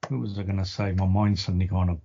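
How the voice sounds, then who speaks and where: A man's voice: low-pitched, little pitch movement, very fast, vocal fry, mellow, lo-fi sound, a little hiss or hum. A man co-hosting a casual podcast chat, talking in a playful, teasing way. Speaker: podcast co-host.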